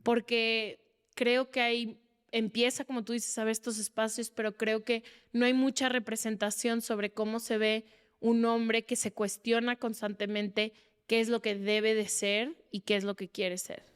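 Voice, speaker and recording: Clear, high-voiced Mexican woman. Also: little pitch movement, a little slow. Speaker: podcast host. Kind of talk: conversational interview.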